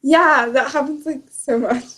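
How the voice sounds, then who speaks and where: A woman's voice: high-pitched, unhurried, fairly bright tone. A young woman co-hosting a casual, informal chat about books. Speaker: book discussion show co-host.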